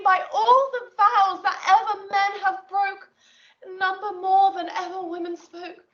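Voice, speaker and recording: Very high-voiced devoted young lover. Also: female voice, a bit bright, recorded from a distance, highs cut off. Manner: theatrical, measured verse delivery. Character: earnest, devoted young woman in love, Shakespearean. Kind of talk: Shakespearean audio drama acting.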